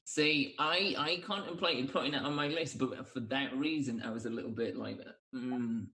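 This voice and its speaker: A man co-hosting a podcast in casual chat, with a measured and considered way of talking. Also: medium pitch, pushed and bright. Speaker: podcast co-host.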